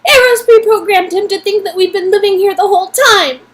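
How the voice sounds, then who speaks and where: A woman's voice: extremely high pitch, brisk, fairly bright tone. A woman voicing an anxious young woman in love, pleading in an emphatic, emotional way, as in a dramatic game reading. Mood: alarmed.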